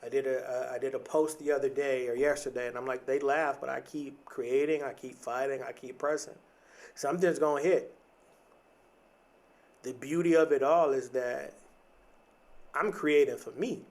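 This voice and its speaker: A man's voice: mid-range voice, steady tone, normal speed, a little mellow. Reflective man talking motivation. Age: late 30s. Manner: reflective, conversational, emphatic repetition. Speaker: podcast host. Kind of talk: casual motivational monologue.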